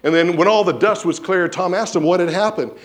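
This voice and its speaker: High-voiced preaching man telling stories. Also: a little fast. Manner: animated storyteller, acts out quotes. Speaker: pastor. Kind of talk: sermon with storytelling. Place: church sanctuary.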